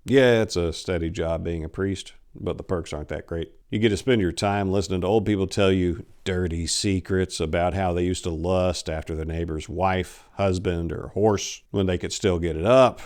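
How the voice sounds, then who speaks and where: A man in his forties reading a story aloud in a theatrical, deliberate, scripted narrating style. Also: low voice, steady tone, darkish tone. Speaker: host, author reading own short story. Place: indoors, book-lined room. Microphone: lapel mic.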